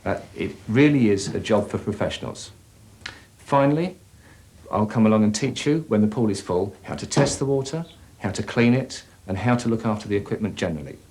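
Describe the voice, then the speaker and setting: A man giving a measured, matter-of-fact explanation as a company representative in an instructional sales film. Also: fairly low pitch, expressive, a little mellow, natural voice.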